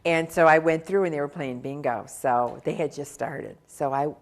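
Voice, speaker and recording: A woman's voice: deep voice, moderate pace. A woman hosting a local television interview show, friendly and encouraging toward her guest. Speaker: talk show host.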